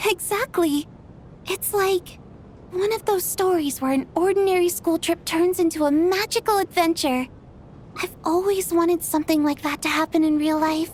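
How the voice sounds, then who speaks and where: Brief: a sickly but excitable young woman, very high voice, faint hiss. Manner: bright, excitable, chatty. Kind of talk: game voice acting.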